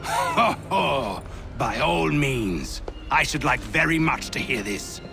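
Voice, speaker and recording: A man's voice: mid-range voice, animated, slow pace, creaky. A man voicing an arrogant, imperious army general in a game cutscene, over a music score. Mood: scornful. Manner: imperious, scornful, given to angry outbursts. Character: arrogant stern British general, middle-aged. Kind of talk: video game cutscene acting.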